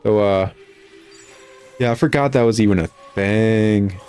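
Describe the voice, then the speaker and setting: Guy w a lowish voice rambling sarcastic critiques over a video game, kinda fed up, music in the back. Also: unhurried, darkish tone. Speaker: gaming video host, critic.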